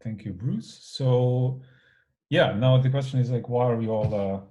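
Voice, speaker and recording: A man's voice: mid-range voice, unhurried, dark tone, muffled recording. A man from Serbia in his thirties giving a guest lecture online in a casual, rambling way with many fillers.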